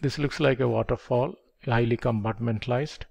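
An older man teaches in a measured, methodical way, with a gravelly texture to his mid-pitched voice. He speaks into a headset microphone in a dim room, walking through each step with patient repetition. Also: fairly even intonation, a little mellow. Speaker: project management instructor. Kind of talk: explanatory lecture. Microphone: headset mic.

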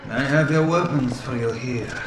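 A man's voice: mid-range voice, normal speed, noisy background, lightly acted. An actor playing a guarded, stern middle-aged male local contact in a video game cutscene, giving instructions. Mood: guarded, grave. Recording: echoey room, music behind.

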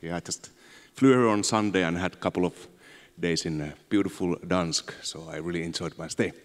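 Deep-voiced Finnish-accented man in an echoey hall. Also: fairly quick. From Finland. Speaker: conference speaker, tech entrepreneur. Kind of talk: conference presentation. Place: on stage in a large auditorium. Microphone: handheld microphone. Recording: echoey large hall, long reverb.

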